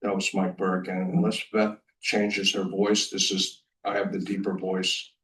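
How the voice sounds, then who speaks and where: A man's voice: sits low, flat delivery, measured pace, a bit bright, a little hiss or hum. A man on a town board making motions and asking informal questions at a public meeting. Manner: informal, asks questions, self-deprecating. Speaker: town selectman. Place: meeting room. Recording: voice from far off the mic.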